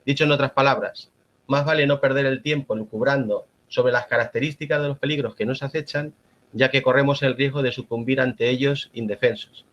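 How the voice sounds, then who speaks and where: Measured Spanish man, dull lo-fi sound. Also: medium pitch, fairly even intonation, a bit bright. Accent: Spanish (Spain). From La Mancha, Spain. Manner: measured, formal delivery. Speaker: agronomist giving a conference talk. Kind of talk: prepared conference presentation.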